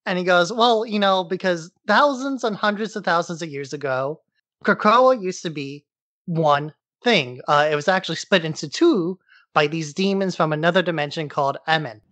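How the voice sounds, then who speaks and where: A man's voice: sits high, moderate pace, fairly bright tone, dry recording. Informal man recapping in a dry-sounding space. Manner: informal, irreverent. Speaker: podcast co-host. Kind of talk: casual plot recap.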